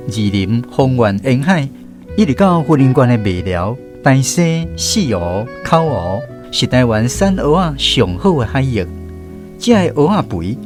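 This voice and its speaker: A man with a Taiwanese accent tells a scripted story for radio. His pitch moves in a lively way as he narrates, and soft guitar music sits faintly behind him.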